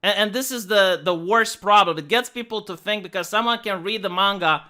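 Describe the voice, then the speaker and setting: High bright-voiced man with a Romanian accent. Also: brisk. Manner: earnest, emphatic, with frequent restarts and "like". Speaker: online commentator. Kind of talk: commentary video monologue.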